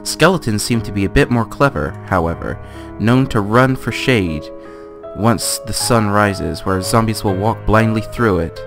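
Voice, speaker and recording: A man giving a theatrical, deliberate dramatic reading of a fantasy guidebook. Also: on the low side. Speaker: let's play gamer. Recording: guitar music behind voice. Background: guitar music.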